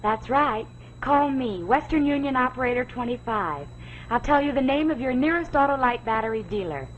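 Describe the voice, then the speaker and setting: A woman with a fairly high voice plays a telephone operator in a 1940s television commercial. The vintage soundtrack is muffled, with a little noise. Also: animated, normal speed. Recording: dull, noisy vintage TV soundtrack.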